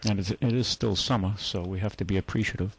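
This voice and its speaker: A middle-aged man hosting a casual call-in radio show, talking in a relaxed, rambling way with many fillers. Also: on the low side, quick pace, slight background noise, low-quality recording. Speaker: radio talk show host, car dealer.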